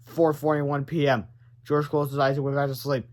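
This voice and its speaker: Higher-pitched man reading a children's book. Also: level tone, normal speed, very noisy. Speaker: storybook reader. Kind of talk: read-aloud narration of a children's book.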